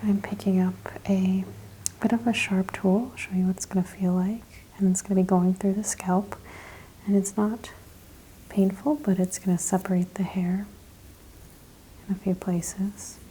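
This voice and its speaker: A woman whispering gently in an ASMR spa roleplay, softly and slowly describing each thing she does in a breathy voice. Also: medium pitch, flat delivery, a little hiss or hum, poor audio. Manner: slow, gentle, step-by-step narration of actions. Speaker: ASMR performer playing a spa practitioner.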